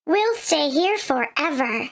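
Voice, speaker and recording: A woman's voice: sits high, animated, takes it slowly, no room sound, studio quality, voice pushed up, exaggerated voice. A woman voicing a tiny, gleeful young boy kangaroo in a children's storybook, squealing and carefree. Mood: curious, carefree.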